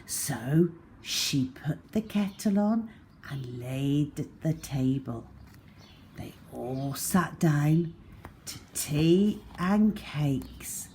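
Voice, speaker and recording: A woman narrates a storybook for young children with great expression. Her lowish voice rises and falls widely and moves very slowly, with faint noise behind it. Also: lively intonation, dark tone.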